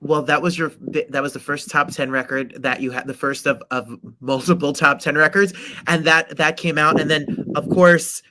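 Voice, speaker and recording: A man's voice: a little high, fairly even intonation, moderate pace, sharp tone, no room sound. Effusive middle-aged male host. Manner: effusive and gushing with praise. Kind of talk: casual interview chat.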